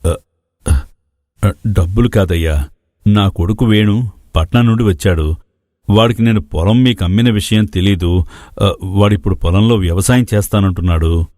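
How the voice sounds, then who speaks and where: A man's voice: lowish voice, moderate pace, long pauses, darkish tone, no echo, clean studio sound, lightly acted. A man playing an anxious, evasive older village farmer father, hesitant and stammering. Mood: anxious confession.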